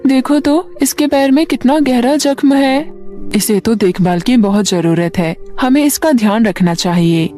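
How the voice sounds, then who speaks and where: An eager, kind-hearted little schoolgirl from a storybook, voiced by a woman, speaks politely in a high, childlike voice. Music plays underneath. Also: a little slow, mellow, lightly acted. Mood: concerned.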